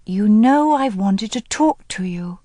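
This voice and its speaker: A woman's voice: medium pitch, expressive, slow, warm tone, no room sound, clean studio sound, pitched above the performer's own voice. A woman voicing a spirited aristocratic woman who speaks earnestly and presses hard with her questions, read as an audiobook character. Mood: exasperated. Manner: earnest and pressing, rising in exasperation.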